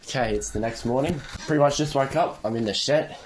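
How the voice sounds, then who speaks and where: A man's voice: mid-range voice, brisk, noisy background. A teenage boy talking casually to his viewers as he narrates his progress on an online business. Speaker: vlogger starting an online business. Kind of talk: casual vlog talk, narrating own progress.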